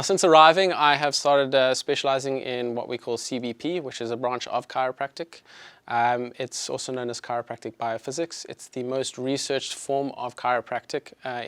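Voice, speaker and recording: A South African man in his thirties giving an evening health talk to a small audience. He talks in a friendly, conversational way, full of little fillers. His voice sits at a middle pitch, and the sound is dry with no room echo. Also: a bit bright. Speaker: chiropractor giving an evening presentation. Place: clinic presentation room.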